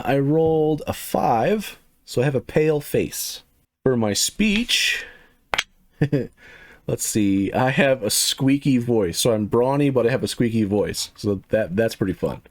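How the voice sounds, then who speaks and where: Casting: middle-aged man, mid-pitched, casual and rambling, talks his way through a game review. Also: sing-song, slow, a little hiss or hum. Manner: rambling, lots of fillers, laughs to himself. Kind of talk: casual first-impressions walkthrough.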